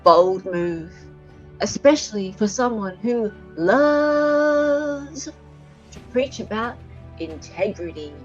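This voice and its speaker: A woman's voice: medium pitch, expressive, slow pace. Aussie woman streamer doing snarky readings n banter, kinda lo-fi sound, faint music under her. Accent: Australian. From Australia. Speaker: livestream host. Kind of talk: casual commentary with dramatic readings. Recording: dull lo-fi audio.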